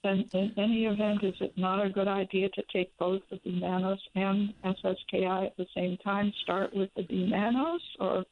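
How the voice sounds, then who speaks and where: A woman calling in to describe a bladder infection, speaking carefully and haltingly, with a hoarse voice. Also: medium pitch, steady tone. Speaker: caller. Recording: phone line.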